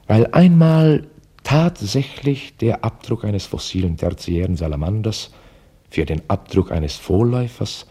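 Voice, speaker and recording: A reflective novelist narrates in a very low, husky voice with a dark, mellow tone. The delivery is measured and deliberate, as scripted radio drama storytelling. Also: male voice, exaggerated voice. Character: worried, reflective male novelist telling his story. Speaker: author-narrator.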